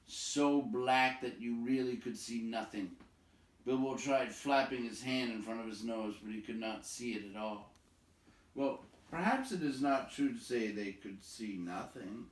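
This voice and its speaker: A man reading a fantasy story aloud in a dramatic, measured style, with suspenseful emphasis and pauses. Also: medium pitch, a little mellow. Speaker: storybook reader. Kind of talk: dramatic read-aloud narration of a fantasy novel. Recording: roomy echo, distant microphone.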